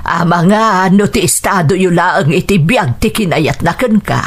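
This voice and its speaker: A woman's voice: fairly low pitch, moderate pace, husky. An actress with an Ilocano accent playing a strict but kindly older mother, doubtful and protective, in a radio drama. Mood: worried, stern.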